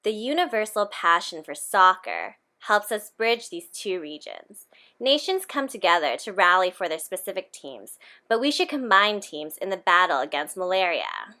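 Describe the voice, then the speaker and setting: A woman reading a scripted pitch in an expressive read-aloud way. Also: normal speed.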